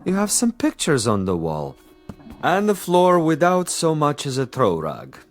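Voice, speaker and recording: A man's voice: on the high side, wildly swooping pitch, measured pace, faint music behind, heavily acted. A man playing a cultured, menacing commissar and assassin in a drama, speaking with theatrical mock courtesy and relishing his words. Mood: mock-courteous. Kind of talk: dramatic TV-style dialogue acting.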